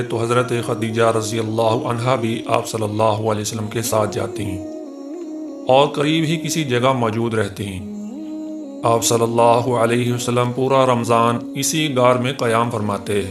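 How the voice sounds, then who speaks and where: A man reading a scripted religious history narration in a formal, reverent manner. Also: medium pitch. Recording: music behind the voice. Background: music.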